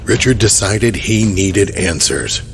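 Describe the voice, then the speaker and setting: A man narrating a horror audio drama in a grave, measured storytelling manner. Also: fairly low pitch, lively intonation, noisy background.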